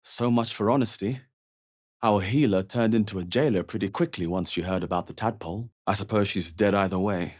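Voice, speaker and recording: An actor playing a wry, watchful male fantasy adventurer in a video game, with a husky, dry, understated delivery. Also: fairly low pitch, fairly quick, lightly acted. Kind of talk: video game character voice lines, short reactions.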